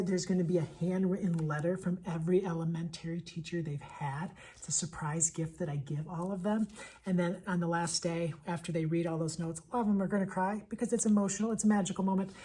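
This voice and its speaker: A woman's voice: fairly low pitch, steady tone, fast talker, warm tone, slightly rough. A woman who teaches elementary school, giving casual, enthusiastic and emphatic book recommendations, repeating phrases for effect. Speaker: elementary school teacher reviewing picture books.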